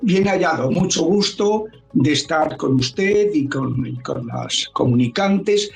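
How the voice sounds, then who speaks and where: Older priest guy, kinda high voice, earnest and measured, echoey room. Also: very animated, gravelly, faint music behind. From Spain. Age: 70s. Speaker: priest and guest. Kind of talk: interview answers.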